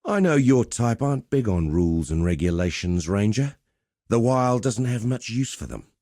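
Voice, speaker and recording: A man's voice: fairly low pitch, sing-song, moderate pace, warm tone, no room sound, studio quality. A man playing a swaggering, cheerful arena fight promoter in a video game, with theatrical, chummy, slangy patter. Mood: charming showman. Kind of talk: video game character voice lines.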